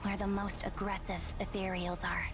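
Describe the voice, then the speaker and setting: A woman voicing a calm young female soldier. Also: mid-range voice, moderate pace, lightly acted. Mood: steady. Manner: calm, clipped reports. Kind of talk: game cutscene acting. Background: loud game music.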